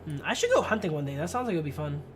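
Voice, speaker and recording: A woman's voice: deep voice, sing-song, rapid-fire, a little hiss or hum. A woman live-streaming a game, reading its text aloud and adding casual, slangy commentary. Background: music.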